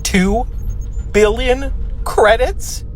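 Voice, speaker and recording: A woman's voice: a little high, extremely slow, faint music behind, poor audio. A woman playing a fretful, doom-predicting spaceship crew member in a sci-fi comedy radio drama, precise in her wording. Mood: alarmed, gloomy. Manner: fretful, precise, statistics-quoting.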